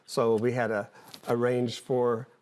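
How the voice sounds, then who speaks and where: Plain-spoken older man explaining haltingly. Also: medium pitch, flat delivery, a little mellow. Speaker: interview guest, former air traffic controller and pilot. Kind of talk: conversational explanation.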